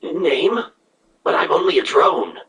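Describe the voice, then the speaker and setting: A man voicing a timid, confused, obedient insect-like drone in an audio story, raspy and hesitant. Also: fairly high pitch, big pitch swings, a little slow, reverberant, crowd noise. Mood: fearful, confused. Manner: hesitant, stammering.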